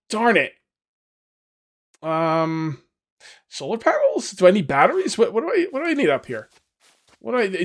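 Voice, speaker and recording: A man with a hoarse, fading voice, casually commenting on his survival game as he plays and rambling to his viewers. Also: high-pitched. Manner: rambling, self-correcting, talks to viewers. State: losing his voice. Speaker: gaming video host. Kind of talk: casual let's-play commentary.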